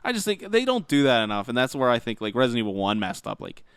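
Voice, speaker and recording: A man chats casually as a podcast host, blunt and informal with his opinions. His pitch moves in a lively way and his voice is smooth and clear. The sound is dry, with very little room on it. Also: fast talker, no room sound. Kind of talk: casual gaming podcast chat.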